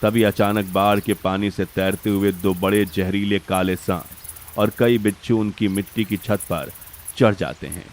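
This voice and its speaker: A man's voice: fairly low pitch, steady tone, a little slow, a little hiss or hum. A man reading a scripted, dramatic story narration.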